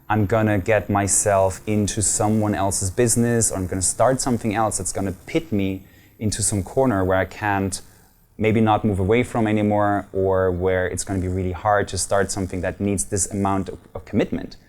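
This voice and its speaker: A man's voice: on the low side, a little mellow. A man in his thirties from Germany with a German accent, talking earnestly as an interview guest with some hesitant fillers. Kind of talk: casual interview talk.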